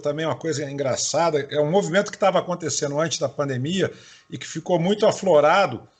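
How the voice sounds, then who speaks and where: A husky, fairly high man's voice with a Carioca accent, chatting loosely as an interview host. He talks in a relaxed, rambling way, often restarting his sentences. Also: fast. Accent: Carioca Portuguese. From Bahia, Brazil. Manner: chatty, laughs while talking, many restarts. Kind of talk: casual interview chat.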